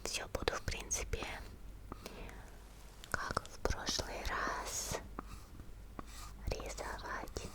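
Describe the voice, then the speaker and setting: A woman playing a friendly, attentive monster girl in a whispered ASMR roleplay, speaking gently and unhurriedly in a breathy murmur to the listener. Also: very slow, long pauses. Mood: focused, attentive.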